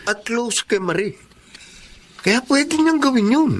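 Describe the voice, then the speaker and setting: High male voice, lively swoops, suspicious gossipy buddy, insinuating, radio drama. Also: measured pace, exaggerated voice. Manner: insinuating, animated. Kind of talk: Tagalog radio drama acting.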